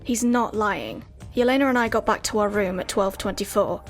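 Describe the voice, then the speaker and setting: A woman's voice: medium pitch, moderate pace, soft background music, close to an everyday voice. A woman playing a cool, confident young witness in an audio drama, firm and matter-of-fact. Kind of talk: anime-style audio drama.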